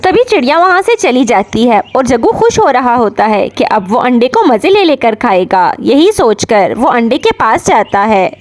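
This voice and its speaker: A woman with a high, expressive voice narrates a children's bedtime story. Her pitch rises and falls in a lively way as she tells the cartoon tale. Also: normal speed, few pauses.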